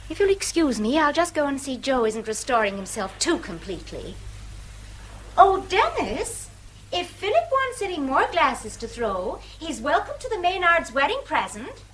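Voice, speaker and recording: High, barbed hostess. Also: female voice, sing-song, moderate pace, fairly bright tone, slight background noise. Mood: icily polite. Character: brisk, pointed English hostess, politely controlling. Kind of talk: British radio drama acting.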